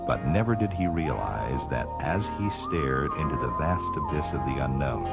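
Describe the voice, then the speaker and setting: An actor playing a solemn, portentous narrator of a science fiction audio drama, speaking deliberately. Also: male voice, rumbling low, full character voice. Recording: loud electronic music under the voice. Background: keyboard-heavy electronic score.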